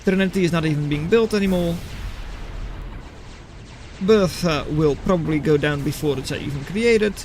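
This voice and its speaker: Male streamer, fairly high voice, dry live replay commentary, faint battle sounds behind. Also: normal speed, long pauses, a little mellow, slight background noise. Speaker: game caster, streamer. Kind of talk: live esports-style replay commentary, chatting with viewers.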